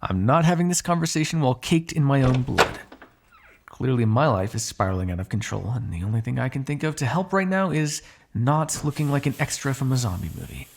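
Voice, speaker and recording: Man acting a gravelly, sardonic male vampire. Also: medium pitch, animated, brisk, slight background noise. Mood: exasperated, dry. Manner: sardonic, drawling, swearing, exasperated asides. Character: ageless male vampire, cynical, menacing, grudgingly fond captive. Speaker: voice actor in an audio roleplay. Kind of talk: ASMR roleplay, one-sided dramatic dialogue.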